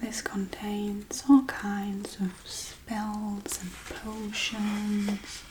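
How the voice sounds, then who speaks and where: A woman playing a forgetful, darkly playful witch in a soft-spoken, whispery ASMR role-play, murmuring gently and absent-mindedly. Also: mid-range voice, completely flat delivery, very slow, noisy background. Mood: amused, musing. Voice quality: breathy, whispery.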